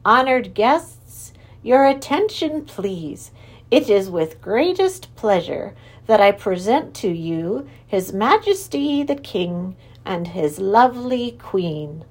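A woman's voice: a little high, very animated, darkish tone, heavily acted. Woman doing a pompous, ringing royal herald. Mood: proclaiming. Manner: slow, ringing, formal proclamation. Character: pompous male royal herald announcing loudly. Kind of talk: storybook reading.

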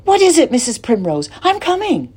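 A woman voicing a small, funny, cheerful gentleman who talks in excited exclamations. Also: mid-range voice, moderate pace, husky, voice pushed up. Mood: startled. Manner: exclaiming, bubbly.

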